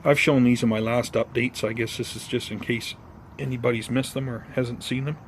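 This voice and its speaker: A man's voice: mid-range voice, fairly even intonation, fairly quick. Casual man vlogging outdoors with background noise. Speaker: host of a bonsai gardening vlog. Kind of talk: casual vlog chat to viewers. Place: outdoors in a garden or yard.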